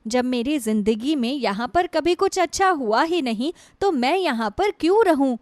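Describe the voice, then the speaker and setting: Long-suffering young wife, storybook tale, acted by a woman: high voice, despairing, plaintive, dry studio sound. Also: animated, moderate pace, dry recording. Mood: sorrowful. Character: sorrowful, long-suffering young wife pouring out her grief. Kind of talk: Hindi moral storybook voice acting.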